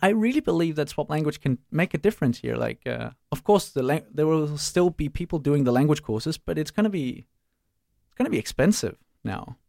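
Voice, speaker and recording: A young man, answering interview questions casually and pausing to search for words. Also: on the high side, lively intonation, gravelly, darkish tone, dry recording, studio quality. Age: young adult. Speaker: startup co-founder and student.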